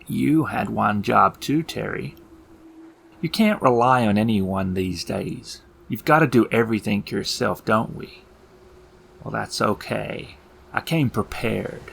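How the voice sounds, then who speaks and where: A man's voice: on the low side, expressive, gravelly, fairly bright tone, a little hiss or hum, exaggerated voice. A man voicing a menacing, mocking elf clown villain in a theatrical, taunting way for a tabletop game. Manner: theatrical, slow taunting delivery with sudden outbursts.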